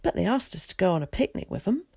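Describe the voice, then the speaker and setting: A shy, anxious boy from a storybook, acted out by a woman reader. He speaks in a hushed, hesitant way, as if self-conscious, recorded close and dry. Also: medium pitch, sing-song, fast talker, slightly rough, no room sound, heavily acted. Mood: puzzled.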